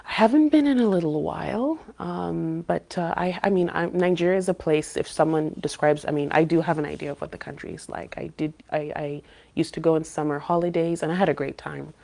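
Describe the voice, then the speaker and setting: Low gravelly woman from saudi arabia, guest on a podcast, thinks out loud with lots of pauses. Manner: thoughtful, searching for words with frequent pauses. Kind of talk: casual interview talk.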